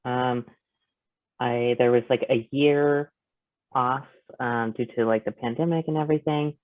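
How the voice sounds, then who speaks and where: A man speaking casually and haltingly as a panel guest. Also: mid-range voice, fairly even intonation, no echo. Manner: hesitant, halting. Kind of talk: casual self-introduction. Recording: thin phone-like call, dry.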